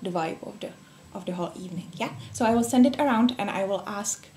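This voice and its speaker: A woman's voice: medium pitch, quick pace, a little mellow. Young czech woman talking to a group in a room, friendly and full of ums, bit of faint noise behind. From Czech Republic. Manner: friendly, many fillers and restarts. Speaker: speaker giving a workshop talk. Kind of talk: casual spoken talk to a small group.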